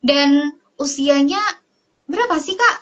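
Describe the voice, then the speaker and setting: A woman's voice: high-pitched, animated, unhurried, a bit bright, a little hiss or hum. A woman co-hosting a casual live stream, bubbly and agreeable, speaking straight to viewers.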